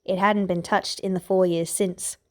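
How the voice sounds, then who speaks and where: A woman's voice: medium pitch, little pitch movement, normal speed. A woman reading a scripted story aloud as its narrator. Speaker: podfic author and narrator. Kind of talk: scripted fan fiction narration.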